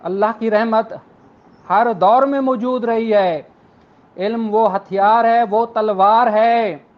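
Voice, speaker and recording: A man's voice: sits high, fairly even intonation, slow pace, highs cut off. A man with a Pakistani accent lecturing law students in an earnest, rhetorical way, repeating phrases for emphasis.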